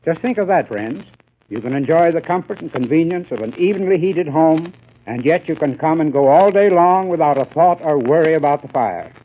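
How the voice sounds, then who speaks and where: An actor playing an enthusiastic, friendly heating expert giving a 1930s radio sales talk. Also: male voice, a little high, lively intonation, fairly quick. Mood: earnest, folksy. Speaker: sponsor's spokesman. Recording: tinny, hissy old radio recording.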